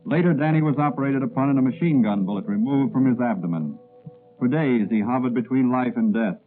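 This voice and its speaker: A man's voice: medium pitch, a little fast. A man giving dramatic scripted narration in the style of 1940s radio. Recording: narrow-band vintage radio recording. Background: music.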